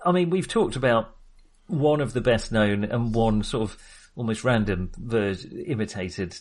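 A man's voice: fairly low pitch, unhurried, a little mellow. A man co-hosting a casual film discussion, speaking in a hesitant, self-qualifying way. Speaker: podcast co-host discussing films.

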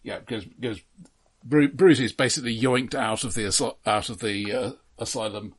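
A man co-hosting a casual film discussion, explaining points in a measured way. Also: medium pitch, fairly even intonation, husky. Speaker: podcast co-host.

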